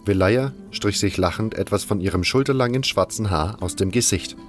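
Male narrator, low voice, scripted fantasy storytelling, first-person, faint music bed. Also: moderate pace.

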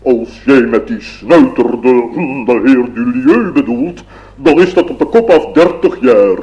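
A man's voice: medium pitch, measured pace, a little mellow, dull audio, lightly acted. A man playing a very elderly, solemn, grumbling owl with a pompous, old-fashioned way of talking in a radio play.

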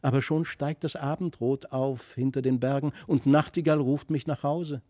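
A man voicing a gracious, mysterious storybook fairy child in a gentle, lyrical manner. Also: medium pitch, steady tone, moderate pace, no echo, studio quality, close to an everyday voice. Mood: tender.

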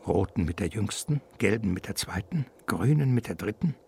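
Male radio play narrator, gravelly, expressive and ironic, faint noise behind him. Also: fairly low pitch, normal speed.

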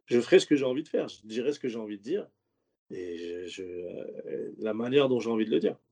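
Man, stand-up comedian, gravelly voice, mellow tone, casual slangy rambling talk. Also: medium pitch, lively intonation, a little fast. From French suburbs. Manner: loose, rambling, slangy talk with many restarts. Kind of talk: casual interview chat.

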